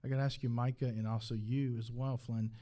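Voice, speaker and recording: Casting: fast-talking man, relaxed mellow tone, agreeable interviewer in casual conversation. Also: medium pitch. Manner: agreeable, frequent short affirmations. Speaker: interviewer, host. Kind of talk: casual chat on stage.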